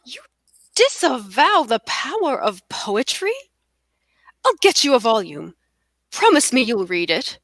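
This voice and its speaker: A florid romantic poet read by a woman speaks in a high, very animated voice with little room sound. The delivery is theatrical and lyrical, like stage drama. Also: unhurried, pauses often, no echo, studio quality, full character voice. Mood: challenging. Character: florid, romantic male poet, idealistic and indignant. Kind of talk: cold table read of a stage play.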